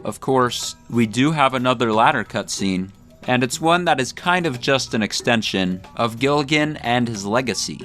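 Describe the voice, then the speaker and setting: A man's voice: mid-range voice, animated, moderate pace, a bit bright, soft background music. A man hosting a scripted comedic video essay, speaking in a mock-grandiose, tongue-in-cheek way.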